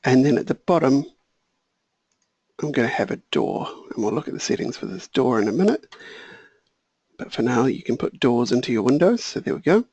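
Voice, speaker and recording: A man walking through a software tutorial, thinking aloud and correcting himself, a little hoarse. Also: medium pitch, a little fast, pauses often, no echo. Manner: relaxed, thinking aloud, small self-corrections. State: slightly hoarse, clearing throat. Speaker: software trainer. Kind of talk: screen-share software tutorial.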